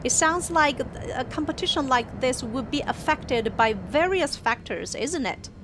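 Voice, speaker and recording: Woman host with steady background noise. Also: sits high, a little slow. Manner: conversational, hedging with fillers. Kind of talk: live news talk show.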